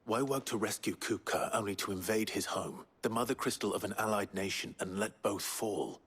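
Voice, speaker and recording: Very husky, deep-voiced brooding hero. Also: male voice, normal speed, fairly bright tone. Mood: troubled. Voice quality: very husky, gruff. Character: brooding young male hero, earnest and restrained. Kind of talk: dramatic video game acting. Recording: music behind the voice.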